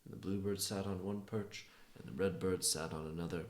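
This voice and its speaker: Male storyteller, very low and creaky, feeling his way through an improvised folktale in a roomy space. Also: steady tone. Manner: halting, pausing between phrases. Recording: roomy, echoing sound.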